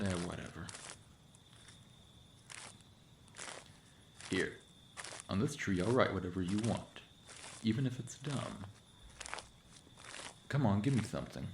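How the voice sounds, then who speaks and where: A man's voice: fairly low pitch, heavily acted. A man voicing a mischievous, friendly forest cryptid in a casual, teasing ASMR roleplay monologue. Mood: playful showing off. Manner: casual, teasing, boastful, chuckling delivery. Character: playful prankster forest cryptid, friendly, mischievous, clawed monster. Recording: roomy echo, clear background noise.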